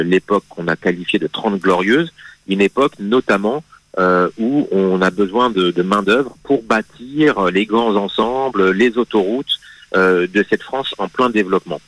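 Man on a radio show walking through history, lowish voice, lots of euhs and restarts, dry close sound. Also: fairly bright tone, no room sound, low-quality recording. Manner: hesitant, many fillers and restarts, measured explanation. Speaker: magazine guest speaking on history. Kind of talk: radio interview, explaining history.

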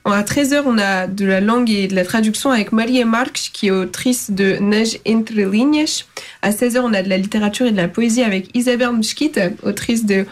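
A woman's voice: medium pitch, fairly quick. A woman hosting a radio show, chatting and reading out a schedule of events with small fillers. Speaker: radio host.

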